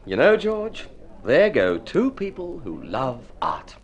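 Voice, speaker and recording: An actor in golden age radio drama playing a genial, wry bohemian painter with a jocular, avuncular manner. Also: male voice, fairly high pitch, huge pitch swings, slow, muffled recording, exaggerated voice. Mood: wry, musing.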